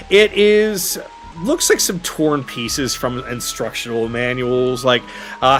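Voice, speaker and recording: Higher-voiced male game master over music. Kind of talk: improvised tabletop game narration. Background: background music.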